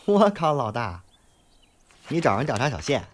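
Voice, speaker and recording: A man's voice: mid-range voice, big pitch swings, moderate pace, a little hiss or hum, lightly acted. A man acting a cheeky, grumbling sidekick in an audio drama, slangy and exasperated as he teases his boss. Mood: teasing, grumbling.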